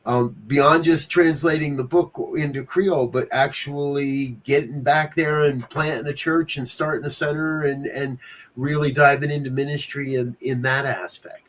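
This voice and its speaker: A man's voice: medium pitch, fairly even intonation, moderate pace. Rambling male talk show host. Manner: warm, rambling questions with fillers. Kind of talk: casual interview chat.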